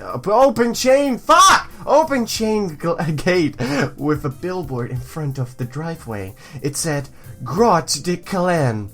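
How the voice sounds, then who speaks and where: A woman hosting a let's play, switching between reading game text aloud and excitable, sweary commentary. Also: lowish voice. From Europe, near Belgium. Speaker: gaming video host.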